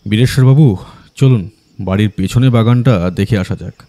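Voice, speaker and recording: A man's voice: fairly low pitch, moderate pace, warm tone, no echo. A man playing a stern, composed detective in an audio drama, questioning with firm authority. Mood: commanding. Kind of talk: Bengali audio drama acting.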